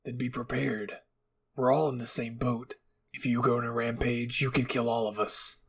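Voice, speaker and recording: A man's voice: medium pitch, normal speed, vocal fry, husky. Casting: man as a cold captain for an anime story, clipped, dry and sardonic. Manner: curt, deadpan. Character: stern, cold, sardonic military captain, male.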